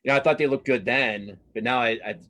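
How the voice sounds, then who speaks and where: A man giving a casual interview as a cartoonist guest, speaking haltingly and modestly, often repeating words as he thinks. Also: medium pitch, a bit bright.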